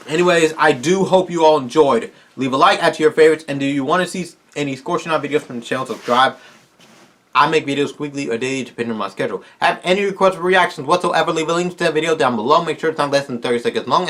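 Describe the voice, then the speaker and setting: A man's voice: mid-range voice, a bit bright. A man hosting his own video channel, wrapping up a casual Q&A in a tired, strained voice as he rambles eagerly to his viewers. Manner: rambling, eager fan talk with restarts. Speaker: YouTuber host.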